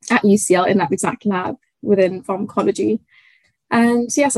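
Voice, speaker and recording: A woman's voice: mid-range voice, darkish tone, no echo, rough recording. Young woman, research fellow guest, reflective and hesitant, dry close recording. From Tanzania. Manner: thoughtful, hesitant with fillers and restarts. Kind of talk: conversational interview.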